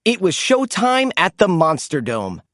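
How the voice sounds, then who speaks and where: Slow, very animated storybook narrator. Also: medium pitch, no room sound, studio quality. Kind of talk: animated children's read-aloud narration.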